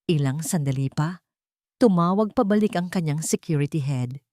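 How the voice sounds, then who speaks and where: A woman's voice: sits low, expressive, moderate pace, a little mellow, no echo, clean studio sound. A woman narrator reading a scripted dramatic story.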